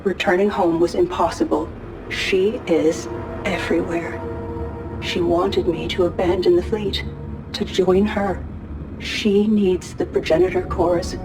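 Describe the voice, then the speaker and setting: A woman's voice: lowish voice, steady tone, measured pace, over music, close to an everyday voice, poor audio. A woman playing a resolute female fleet commander in a game, giving a solemn, urgent recorded message. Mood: grave.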